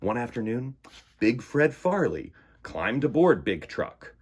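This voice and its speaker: A man's voice: mid-range voice, sing-song, slow pace, husky. A man reading a picture book aloud to children with dramatic emphasis and stretched words. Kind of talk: animated children's story reading.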